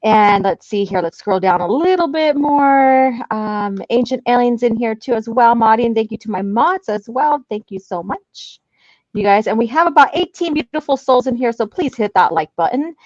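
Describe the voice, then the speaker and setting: Chatty lady hosting a livestream, highish voice, reading chat, kinda lo-fi and close. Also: animated, normal speed, a little mellow, no room sound. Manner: chatty, informal. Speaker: livestream panel host. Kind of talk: casual livestream chat, reading out viewer comments.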